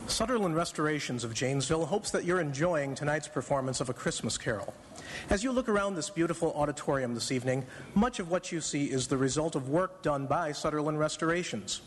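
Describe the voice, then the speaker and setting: A man reads a scripted radio sponsor message. His voice sits a little high. It rings with the long reverb of an auditorium. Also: expressive, a little fast, slight background noise. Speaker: sponsor spot announcer.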